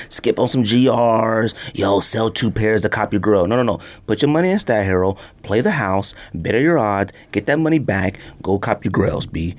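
A man hosting a podcast, doing a casual, slangy ad read with off-the-cuff hype and repeated pitches to the listener. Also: darkish tone. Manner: slangy, often addressing the listener as B or fam. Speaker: podcast host.

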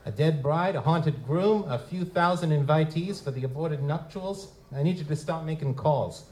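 A man's voice: fairly high pitch, normal speed, darkish tone, natural voice. A man playing a wry, streetwise goblin-like private investigator in a noir audio drama, speaking in casual slang. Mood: brisk, businesslike. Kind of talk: live audio drama dialogue and first-person narration. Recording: noticeable background noise.

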